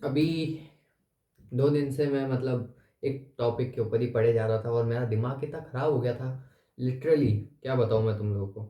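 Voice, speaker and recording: A young man hosting his channel in a relaxed, chatty way, thinking aloud with pauses, his voice slightly hoarse. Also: medium pitch. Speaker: YouTube channel host. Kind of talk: casual chat to camera. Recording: echoey room.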